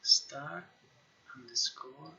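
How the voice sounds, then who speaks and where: A man's voice: medium pitch, drawn-out words, gravelly, sharp tone, highs cut off. A man who is a non-native English speaker, thinking aloud casually on a live stream, repeating himself and swearing as he works. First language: not English. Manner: muttering to himself, repetitive, sweary. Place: indoors at a computer.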